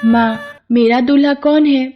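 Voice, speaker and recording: A woman's voice: high-pitched, fairly even intonation, a little slow, muffled recording, soft background music, pitched above the performer's own voice. A woman voicing an innocent, curious young girl in a storybook tale, eager and pleading. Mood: excited, curious. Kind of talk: acted Hindi devotional storybook dialogue.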